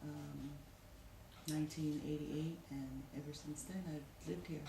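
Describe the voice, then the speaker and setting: A woman in her forties from Tonga, an interviewee, recalling her past slowly and haltingly. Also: sits low, fairly even intonation, gravelly, reverberant, noisy background. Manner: slow, halting recollection.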